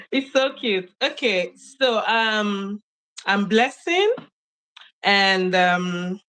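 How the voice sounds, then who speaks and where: Slow-talking woman on a video call. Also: medium pitch, expressive, fairly bright tone. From Nigeria. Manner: chatty, laughs often, many fillers. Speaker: guest. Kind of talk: casual interview storytelling.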